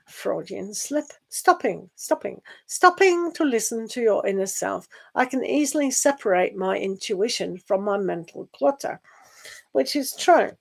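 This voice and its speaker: A woman giving a live online tarot reading and losing her voice. She talks in a meandering, gentle way and reads the card texts aloud. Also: fairly high pitch, expressive, unhurried, creaky. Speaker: tarot reader.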